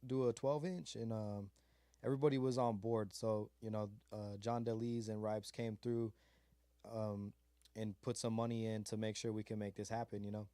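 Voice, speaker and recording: A man's voice: fairly low pitch, level tone, moderate pace, warm tone, dry recording. A man from California's Mojave desert, a beat maker being interviewed, talking in a laid-back, rambling way full of fillers and slang. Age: adult with a child. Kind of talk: casual interview chat.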